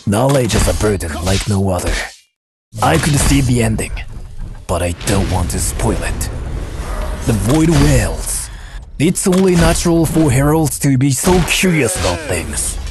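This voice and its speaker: Solemn brooding warrior sage, lively pitch. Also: male voice, a little slow. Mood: cryptic, weary. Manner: solemn, cryptic, theatrical. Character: brooding, philosophical male warrior burdened by arcane knowledge. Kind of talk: video game character voice lines. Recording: game music behind the voice.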